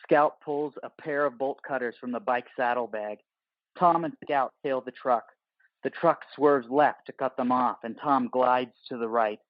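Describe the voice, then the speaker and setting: A man's voice: medium pitch, unhurried, dry recording, lo-fi sound. A man reading scripted, terse action narration for an audio play.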